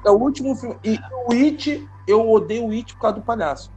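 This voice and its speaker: A man chatting as a podcast co-host, animated and emphatic, often repeating himself. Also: extremely high pitch, a little fast, soft background music. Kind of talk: casual chat.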